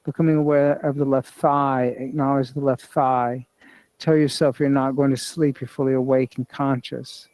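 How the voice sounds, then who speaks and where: A man leading a guided yoga nidra meditation as an instructor, speaking in measured, repetitive instructional phrases. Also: medium pitch, little pitch movement, darkish tone, no echo, low-quality recording.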